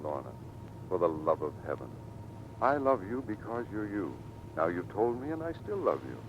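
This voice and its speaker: A man's voice: big pitch swings, moderate pace. A man acting an earnest, devoted skipper husband in a vintage radio drama. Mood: earnest, reassuring. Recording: dull vintage radio, faint rumble.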